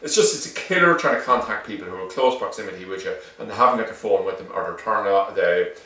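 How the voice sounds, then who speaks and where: Echoey, fast-talking low-voiced Irish man. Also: sharp tone. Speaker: shop seller presenting product reviews. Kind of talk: informal unscripted product demonstration. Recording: echoey room, distant microphone.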